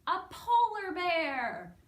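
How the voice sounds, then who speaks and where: A woman with a Canadian accent presenting to young children as a story time host, in an animated way with simple, drawn-out wording. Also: very high-pitched. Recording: roomy, distant mic with background noise.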